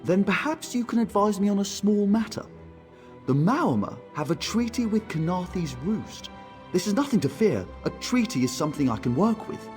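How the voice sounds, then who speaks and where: A man's voice: high-pitched, darkish tone. An actor playing a courteous, tactful male elf diplomat in a video game, speaking in a polite, formal, ornate way. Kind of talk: video game character dialogue.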